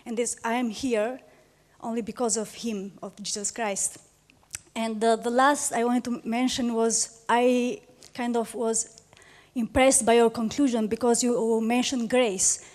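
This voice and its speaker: A woman's voice: fairly high pitch, level tone, unhurried, a little mellow. A woman from Albania with an Albanian accent, speaking from the audience at a public debate and giving an earnest, emphatic testimony. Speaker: audience member.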